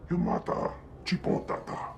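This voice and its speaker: A man playing a gruff alien street dealer with a gravelly voice, speaking an invented tongue in a video game. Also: measured pace, a little mellow, slight background noise, lightly acted. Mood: animated.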